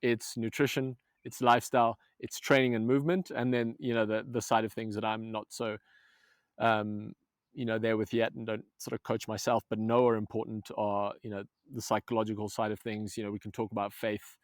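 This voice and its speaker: A man's voice: lowish voice, little pitch movement, fairly quick, no echo, clean studio sound. A man from Zambia, a movement coach, talking earnestly in a casual podcast conversation, with many restarts.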